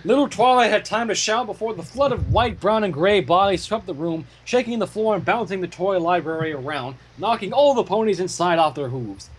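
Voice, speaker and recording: A man giving an expressive, storybook-style narration as he reads fan fiction aloud. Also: sits high, fairly quick, few pauses, a little hiss or hum, poor audio.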